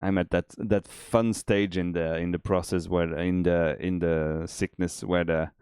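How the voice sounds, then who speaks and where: A man with a cold, his voice extra deep, chatting easygoing and rambling with many restarts while streaming game development. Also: steady tone, a little slow, a little mellow, clean tone, no echo, clean studio sound. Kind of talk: casual chat on a dev stream.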